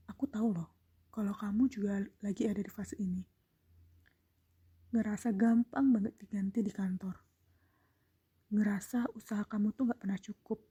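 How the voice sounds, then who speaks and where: A young woman, confiding gently in a breathy, half-whispered, intimate reflective monologue as a solo podcast host. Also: medium pitch.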